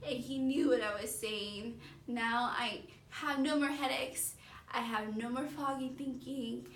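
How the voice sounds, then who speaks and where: A woman in her early thirties speaks in a fairly high voice, telling her own story earnestly and conversationally. She leans on intensifiers for emphasis. The sound is clearly echoey and a little far from the mic, with faint noise. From born in Mexico City, grew up in the San Francisco Bay Area. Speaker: patient giving a testimonial. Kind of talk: personal testimonial, unscripted and conversational.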